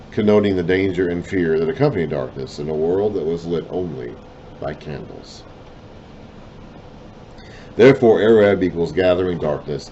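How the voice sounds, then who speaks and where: A man reading and explaining a Bible lesson in a deliberate way, his voice trailing off at the ends of phrases, with self-conscious apologetic asides. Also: low-pitched, dark tone, muffled recording, slight background noise. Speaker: Bible teacher.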